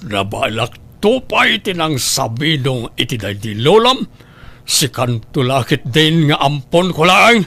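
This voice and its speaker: A man's voice: medium pitch, sing-song, a little slow, vocal fry, sharp tone, heavily acted. A cruel, greedy father from an audio drama, drawing out his threats with menace. His voice has an Ilocano Filipino accent and a theatrical, domineering edge. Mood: menacing, angry. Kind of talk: Ilocano radio drama acting.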